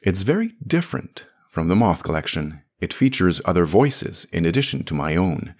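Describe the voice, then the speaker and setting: A man's voice: deep voice, sing-song, moderate pace, a little mellow, breathy edge. A man reading scripted narration and announcements as the writer and narrator of a podcast.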